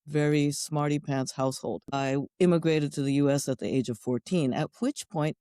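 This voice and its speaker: Middle-aged Japanese-accented woman guest; warm low-key tone, wry, measured delivery. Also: steady tone, dry recording, clean studio sound. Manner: thoughtful, wry, list-like phrasing. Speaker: guest sexologist and educator. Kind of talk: conversational interview answers.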